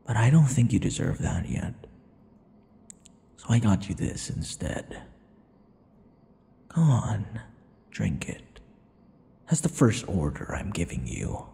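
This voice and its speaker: A man playing a possessive, sadistic yandere vampire in an intimate ASMR roleplay, taunting and slipping into breathy whispers. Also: on the low side, very animated, takes it slowly, long pauses, dark tone, full character voice. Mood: condescending, coaxing. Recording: long hall-like reverb.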